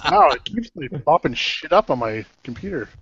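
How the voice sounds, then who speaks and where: A chatty man with a fairly high, lively voice, tossing out quick remarks. Crowd chatter is heard behind him, and the sound is dull. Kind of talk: casual banter. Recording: dull lo-fi audio with crowd noise.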